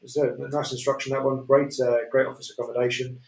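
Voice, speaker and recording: A man with a Yorkshire accent presenting auction lots in a relaxed way, full of fillers. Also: mid-range voice, fast talker, creaky. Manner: relaxed, many fillers, chuckles. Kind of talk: casual chat describing property lots. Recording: echoey room, distant mic.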